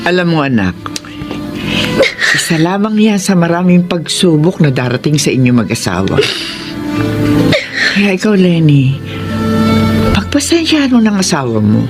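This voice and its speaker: A woman playing a caring middle-aged mother in a Filipino radio drama, gently and earnestly giving advice. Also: on the low side, very animated, normal speed, pauses often. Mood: consoling, earnest. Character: caring older mother counselling her married daughter. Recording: loud music bed behind the voice.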